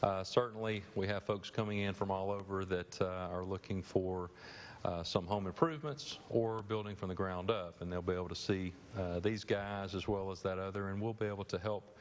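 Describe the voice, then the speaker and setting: A middle-aged man with a lowish voice, talking haltingly and filling pauses as a TV guest. His lapel-miked voice carries a long studio reverb with faint noise. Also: fairly even intonation. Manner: hesitant, many uh fillers. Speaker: bank representative guest. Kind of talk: live TV interview. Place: TV studio set. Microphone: lapel mic.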